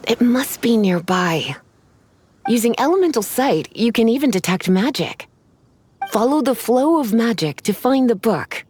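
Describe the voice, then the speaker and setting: A woman's voice: fairly high pitch, lively intonation, measured pace, a little mellow, slight background noise. An actress playing a poised, teasing, flirtatious female librarian mage in an anime video game. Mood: composed, instructive. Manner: teasing, composed, sultry endearments.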